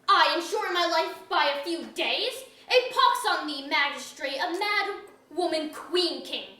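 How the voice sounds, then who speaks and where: A woman's voice: extremely high pitch, animated, a little slow, sharp tone, full character voice. A woman playing an aggrieved, defiant commoner in an old-style verse drama, declaiming with passion. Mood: bitter defiance. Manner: declamatory, impassioned. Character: aggrieved, defiant commoner pleading, then cursing the crown. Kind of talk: staged reading of pseudo-Elizabethan verse drama. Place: museum auditorium, live reading. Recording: echoey auditorium, distant mic.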